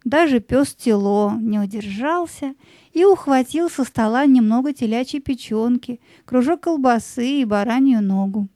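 A woman's voice: on the high side, moderate pace, dark tone, no room sound. A woman narrator telling a scripted fairy tale as part of an audio play.

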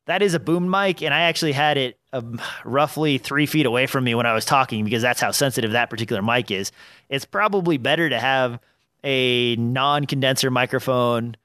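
A man's voice: mid-range voice, brisk, pushed and bright, no room sound, studio quality. Nasal-voiced man hosting a podcast. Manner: rambling, with many fillers and restarts. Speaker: podcast host. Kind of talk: casual podcast chat. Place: small home studio. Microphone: studio dynamic microphone on an arm.

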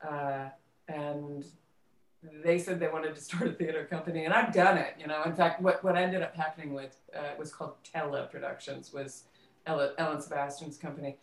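A middle-aged woman in a conversational interview, recalling things haltingly and repeating words as she thinks. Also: sits low, fairly even intonation. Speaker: guest, theater director and actress. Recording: roomy, echoing voice pickup.

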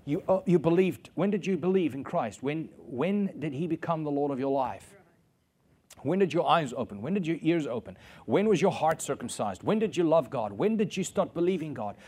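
A man's voice: fairly high pitch, animated, moderate pace, darkish tone, breathy edge. Man preaching. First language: Afrikaans. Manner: earnest, repeats key phrases for emphasis. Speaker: pastor. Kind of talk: sermon.

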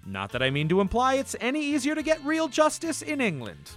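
A man acting a cheery, over-keen pitchman in a spoof commercial. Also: wildly swooping pitch, fairly quick, soft background music, heavily acted. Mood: salesy.

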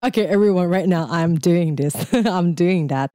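A woman's voice: fairly low pitch, normal speed, mellow, no room sound, studio quality. A young Thai woman with a Thai accent, speaking English as a second language in a casual, chatty interview and laughing often. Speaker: guest.

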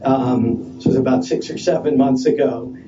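A man speaking loudly at a conference panel, hesitant and halting with many fillers and restarts. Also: medium pitch, steady tone, noisy background. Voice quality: loud voice. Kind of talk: unscripted conference talk. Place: conference session room. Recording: distant, dull lo-fi conference audio.

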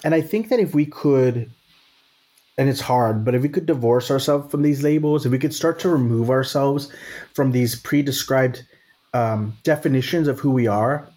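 A man's voice: medium pitch, normal speed, a little mellow. A man from Texas in casual conversation, talking thoughtfully and checking in with "right?" as he goes. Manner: thoughtful, frequent restarts and "right?".

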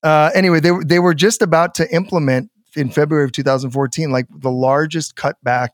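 A man's voice: on the high side, fairly even intonation, a little fast, dry recording, clean studio sound. A man talking politics in a loose, rambling way, often swearing and trailing off mid-sentence. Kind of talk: casual political chat.

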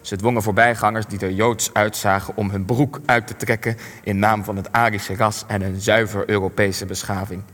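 An elderly war veteran in a radio drama, world-weary and evasive. The voice sits low, with formal, dryly ironic phrasing and some hesitation. It rings in a long hall with music underneath. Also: male voice, flat delivery, normal speed, fairly bright tone. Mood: bitter, reminiscing. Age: young adult. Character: old male resistance veteran, wry, evasive, world-weary. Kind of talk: Dutch-language radio play acting.

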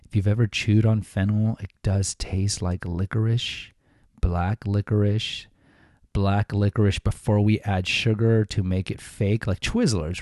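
Chatty low-voiced male podcast host. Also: dark tone, no echo, studio quality. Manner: chatty and informal, with fillers and self-corrections. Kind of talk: casual solo podcast talk.

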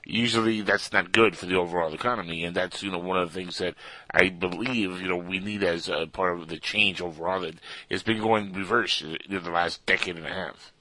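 A man hosting a casual interview, excitable and loose, full of "you know" and "like". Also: low voice, a little fast, no echo, rough recording. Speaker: podcast host.

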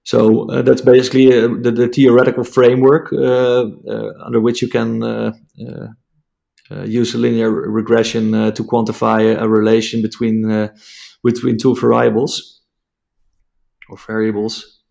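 A man with a Dutch accent, a native Dutch speaker, explaining a technical topic as an interview guest, halting with many fillers and restarts. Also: mid-range voice, monotone, vocal fry, mellow. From Netherlands.

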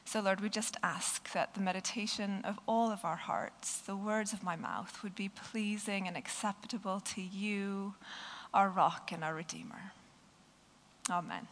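A woman with a croaky, hoarse voice giving a conversational sermon and reading a children's story aloud. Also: mid-range voice, steady tone, a little slow, big-room sound, slight background noise. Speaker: preacher.

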